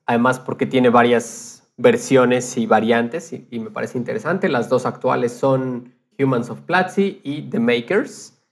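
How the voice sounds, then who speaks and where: A man speaking casually as a podcast guest, explaining his thoughts in a reflective way. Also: mid-range voice. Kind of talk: casual conversation.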